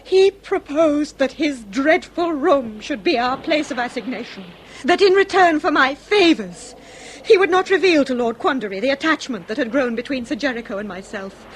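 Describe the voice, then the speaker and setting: A woman's voice: sits high, animated, moderate pace, slight background noise, low-quality recording. An actress playing an anguished, dignified aristocratic lady in a radio drama, with an English upper-class accent and formal, eloquent, theatrical delivery. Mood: shuddering, bitter.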